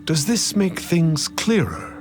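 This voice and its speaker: Grandiose game mystic: slow, theatrical rise and fall, stilted archaic formality, music underneath. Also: male voice, on the high side, big pitch swings. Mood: condescending, assured. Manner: pompous, archaic formal wording, refers to self as one. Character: pompous, grandiose man posing as an immortal sage. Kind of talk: video game voice acting. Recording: music behind the voice.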